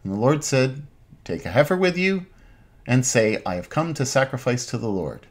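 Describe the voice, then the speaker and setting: A middle-aged man, an Anglican priest, giving an informal online sermon from his home den in measured, halting phrases. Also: medium pitch, animated. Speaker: Anglican priest, parish pastor. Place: small home den with bookshelves.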